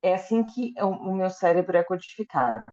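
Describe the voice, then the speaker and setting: A woman's voice: on the low side, animated, fairly quick, dark tone, highs cut off. A woman interpreting a lecture into Portuguese, often hesitating and correcting herself as she goes. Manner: hesitant, with fillers and self-corrections.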